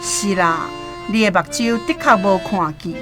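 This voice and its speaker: A woman's voice: medium pitch, measured pace, over music, natural voice. A woman playing a sly old roadside guide who coaxes and persuades, in a Taiwanese radio drama. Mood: coaxing. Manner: coaxing, persuasive.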